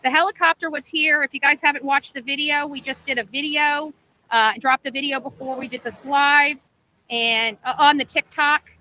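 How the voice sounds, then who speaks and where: A woman's voice: sits high, steady tone, normal speed, sharp tone, slight background noise, rough recording. A woman in a casual livestream, rambling through explanations and often checking that listeners follow. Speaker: livestream amateur sleuth.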